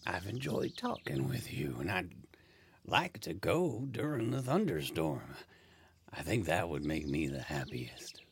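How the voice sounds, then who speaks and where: An actor playing a weary, wry dying man in hospice care in an audio play, speaking in a halting, wistful way. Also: rumbling low, sing-song, vocal fry, a little hiss or hum, full character voice. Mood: calm, wistful.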